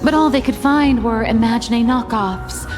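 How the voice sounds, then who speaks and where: A woman's voice: fairly high pitch, normal speed, over music, natural voice. A woman voicing a breezy, cheeky female rogue author in a video game, playful and gossipy. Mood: gossipy, amused.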